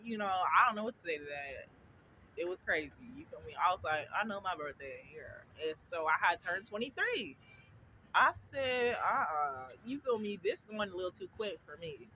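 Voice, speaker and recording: Young woman in her twenties, vlogger, chatty and giggly, very expressive pitch, loud city traffic behind. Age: 20s. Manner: chatty, keeps asking "you feel me", breaks into laughter. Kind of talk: casual chat to camera. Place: outdoors at a bus stop. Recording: outdoor street traffic noise.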